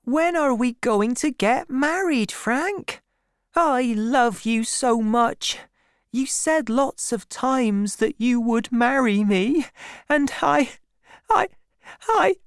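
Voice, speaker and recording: Woman voicing a shaky, high-pitched lovesick young woman. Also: slow, clean tone, no echo, clean studio sound. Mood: tearful, pleading. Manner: shaking, pleading delivery. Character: anxious lovesick young woman, pleading and tearful.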